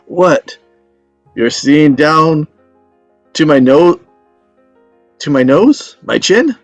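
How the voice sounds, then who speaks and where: A man's voice: a little high, animated, very slow, no room sound, lo-fi sound, faint music behind, full character voice. An actor playing a stubborn, ailing old father who spins tall tales in a folksy, digressive way at a script read. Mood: defensive. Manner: folksy, digressive, rhetorical storytelling. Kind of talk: table read of a film script.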